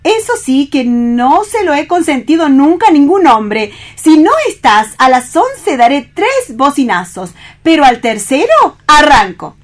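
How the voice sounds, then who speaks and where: Woman playing a flamboyant bossy femme from spain, radio play, high voice that swoops all over. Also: big pitch swings, a little slow. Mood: impatient, commanding. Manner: imperious, theatrical. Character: bossy, flamboyant seductive woman rushing a timid lover.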